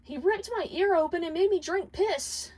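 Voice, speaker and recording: Woman voicing a snarky, high-pitched hellhound girl. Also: moderate pace, darkish tone. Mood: indignant. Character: snarky, complaining female hellhound. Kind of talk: dramatic fan fiction reading.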